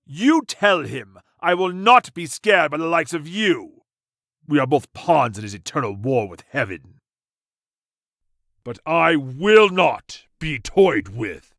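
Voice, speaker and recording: Man playing a slow, gravelly medieval warrior. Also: a little high, big pitch swings, a bit bright, no room sound, clean studio sound, exaggerated voice. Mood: stern resolve. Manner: solemn, declamatory. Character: noble, defiant medieval warrior man, stern and proud.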